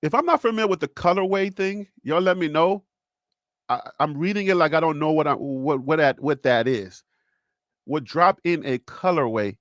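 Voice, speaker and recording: A man's voice: a little high, moderate pace, dry recording, clean studio sound. A man with a Liberian accent hosting a solo sports livestream, joking and repeating himself as he talks to his chat. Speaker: sports show host.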